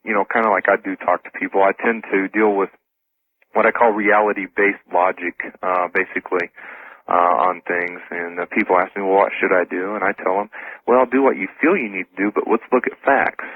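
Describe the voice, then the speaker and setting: Guy guesting on radio, lowish husky voice, sounds like an echoey phone. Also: fairly even intonation, fairly quick. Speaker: interview guest. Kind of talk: casual radio interview talk. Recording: roomy, phone-like radio line.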